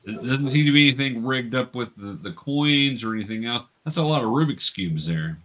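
A man's voice: mid-range voice, animated, a little fast, fairly bright tone. A man acting as game master, patiently narrating and explaining the rules of a tabletop role-playing game to beginners.

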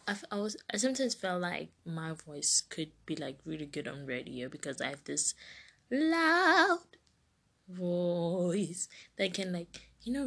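Slow husky-voiced woman podcaster. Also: fairly low pitch, a bit bright. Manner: rambling, restarts and self-corrects. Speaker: podcast host. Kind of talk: solo podcast monologue.

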